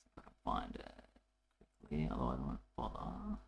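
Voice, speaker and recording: A man presenting a programming tutorial in a casual, unscripted way, haltingly thinking aloud as he works. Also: a bass voice, wildly swooping pitch, gravelly, a little hiss or hum. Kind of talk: casual coding walkthrough, unscripted.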